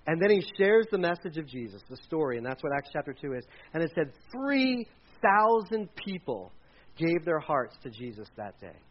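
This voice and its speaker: A man with a fairly high voice delivers a sermon in a loose, conversational way, often restarting his sentences and acting out the people in the passages he retells. The recording sounds a little dull and lo-fi. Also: sing-song, moderate pace. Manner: conversational, retells scripture with acted quotes and restarts. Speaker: pastor. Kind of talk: sermon with slides.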